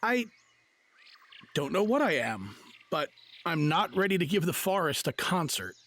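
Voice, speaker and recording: A man's voice: a little high, very animated, unhurried, fairly bright tone, exaggerated voice. A man playing a reluctant, wry, skeptical young man in a fairy-tale audio drama.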